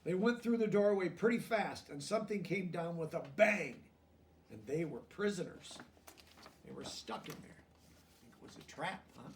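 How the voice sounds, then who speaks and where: A man with a noticeably high voice reads a children's storybook aloud. He goes deliberately through the text and explains it in simple, plain words. The recording sounds distant, as if he is well away from the microphone. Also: expressive, a little hiss or hum. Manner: deliberate reading broken by softer, plain-worded explanations. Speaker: storybook reader. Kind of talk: children's picture book read-aloud with simple explanatory asides.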